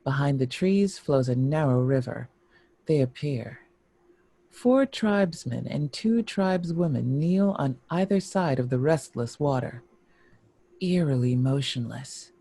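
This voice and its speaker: A man's voice: medium pitch, animated, a little slow, vocal fry, mellow. A man giving scripted narration, reading a screenplay's stage directions.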